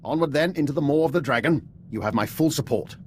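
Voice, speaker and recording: A man's voice: on the high side, expressive, quick pace, slight background noise, lightly acted. A man playing an urbane intelligence operative in a video game, with a polished, dryly witty manner. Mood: wry.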